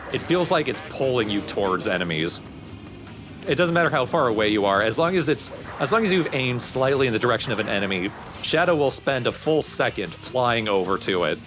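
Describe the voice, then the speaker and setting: A man giving casual, critical commentary on gameplay as a let's player. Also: medium pitch.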